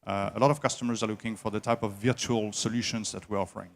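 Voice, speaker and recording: A man heading an engineering services company, answering interview questions at a trade show in a slow, halting way with many filler sounds. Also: fairly low pitch, fairly even intonation, gravelly.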